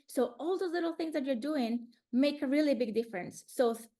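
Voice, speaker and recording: A woman's voice: high voice, a little mellow. A woman from Colombia, presenting slides in a webinar in an earnest way, often restarting words over a video call.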